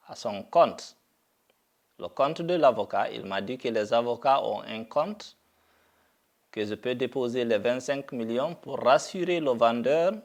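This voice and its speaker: A middle-aged man from Guinea making a deliberate public statement, speaking haltingly with frequent pauses and fillers. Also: mid-range voice, a little mellow. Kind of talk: slow, deliberate video statement.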